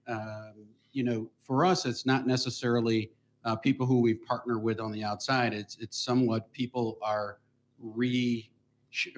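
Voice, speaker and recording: A man answering as a panelist on an auditorium stage, starting with hesitations and then building to emphasis. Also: medium pitch. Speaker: panelist, association executive.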